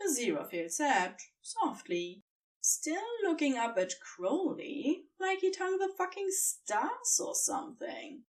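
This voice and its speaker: A woman reading scripted fan fiction narration in an expressive storytelling manner. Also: sits high, slow, distant mic.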